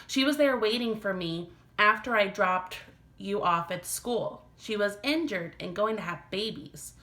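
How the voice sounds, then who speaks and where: Woman as a bright-voiced, gentle but firm mother. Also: mid-range voice, unhurried. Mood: explaining. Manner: explanatory, firm. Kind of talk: children's storybook read-aloud.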